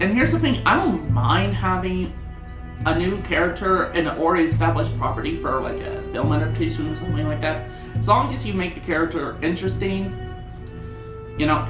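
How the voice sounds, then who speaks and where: A person giving a casual, opinionated film review in a rambling, conversational way. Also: medium pitch. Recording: roomy echo, music underneath.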